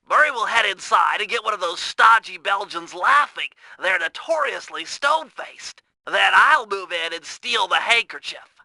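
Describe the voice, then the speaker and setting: A nerdy young male turtle from a cartoon video game, cautious and exact. The voice is thin, creaky and very sharp, recorded close and dry. He explains things in wordy, earnest, instructional sentences. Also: sits high, dry recording, exaggerated voice, poor audio. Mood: earnest, scheming. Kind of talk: video game mission briefing and tutorial voice acting.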